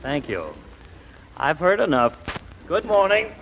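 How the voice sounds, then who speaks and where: A dry, skeptical lawyer in 1940s radio comedy. His voice sits a little high with lively inflection, pressing each question wryly. The sound is a dull vintage broadcast. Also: slow, creaky, slight background noise, full character voice. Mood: disappointed. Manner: wry, pointed, interrogating. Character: skeptical, reproachful lawyer friend, dry and knowing.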